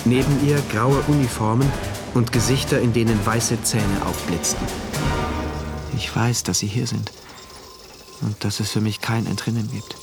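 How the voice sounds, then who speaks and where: A man's voice: fairly low pitch, steady tone, normal speed, lightly acted. A man acting an anxious, introspective narrator in a German dystopian radio drama, telling it in brooding short phrases. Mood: uneasy, observant. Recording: loud music behind the voice.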